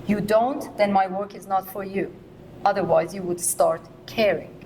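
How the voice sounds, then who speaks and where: Animated woman. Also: medium pitch, moderate pace, slight background noise, low-quality recording. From Iran. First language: Persian. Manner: animated and emphatic, laughs often. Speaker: artist on a panel. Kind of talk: casual panel conversation.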